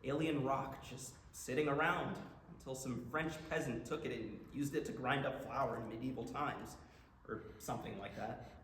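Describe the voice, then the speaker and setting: A man's voice: medium pitch, fairly even intonation, moderate pace, fairly bright tone, lightly acted. A man acting an enthusiastic young astronomy buff in a staged play reading, chatty and explanatory. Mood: eager. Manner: explanatory, chatty, stumbles on words. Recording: echoey room with background noise.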